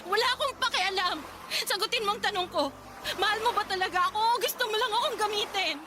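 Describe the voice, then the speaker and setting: A woman's voice: extremely high pitch, fast, noisy background. An actress playing a young pregnant woman pleading emotionally with her lover in a radio drama. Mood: indignant. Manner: emotional, pleading, tearful at times. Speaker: voice actor.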